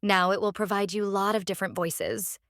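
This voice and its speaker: A woman narrating a casual software tutorial, explaining step by step in a halting way and repeating phrases. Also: mid-range voice, steady tone, no room sound, studio quality. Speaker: tutorial presenter.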